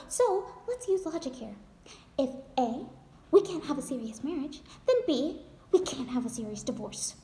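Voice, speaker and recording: High-pitched desperate wife acted by a woman, echoey room. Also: dark tone. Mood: sarcastic, argumentative. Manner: emphatic, theatrical, addressing her husband directly. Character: desperate, wounded wife fighting her divorce, defiantly joking. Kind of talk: dramatic theatrical monologue.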